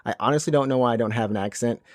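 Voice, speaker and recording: A man from Alabama, in a mid-range voice, chats casually on a podcast and talks in quick bursts with restarts. He is recorded dry and close on a studio mic. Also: medium pitch, no echo. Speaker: podcast co-host. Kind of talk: casual chat.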